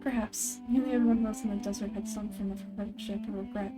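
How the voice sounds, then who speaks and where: A woman's voice: medium pitch, steady tone, quick pace, loud music behind the voice, lightly acted. A woman voicing a composed, kindly female guardian of a desert village in a video game. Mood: resolute. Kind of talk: video game dialogue read-along.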